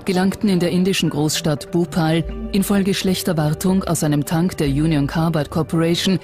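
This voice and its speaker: Documentary narrator over clear background music. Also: mid-range voice, steady tone, moderate pace, a little mellow.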